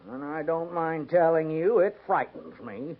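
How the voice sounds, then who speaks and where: An actor playing a middle-aged, blustering and suspicious agency head, pompous and insistent, in a 1950s radio drama. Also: male voice, on the high side, expressive, measured pace. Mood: insistent, uneasy. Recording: tinny, lightly noisy 1950s radio recording.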